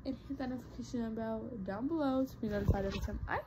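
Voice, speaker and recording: A woman's voice: fairly high pitch, rapid-fire, noisy background. A young woman vlogger chatting casually to her viewers, rambling with lots of fillers and restarts. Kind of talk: casual talk to camera.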